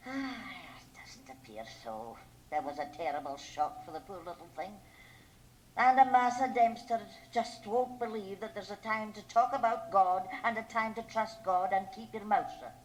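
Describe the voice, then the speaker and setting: An actress playing a practical, stern small-town mother who worries over her neighbours, brisk and earnest, in a radio drama. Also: mid-range voice, natural voice. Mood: concerned, pitying. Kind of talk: 1980 radio drama acting. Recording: noisy home cassette copy of radio drama.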